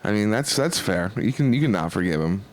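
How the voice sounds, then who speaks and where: A man's voice: on the low side, animated, fairly quick, low-quality recording. A man in his twenties co-hosting a comedy podcast, rambling and self-mocking in casual banter. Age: 20s. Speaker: podcast co-host.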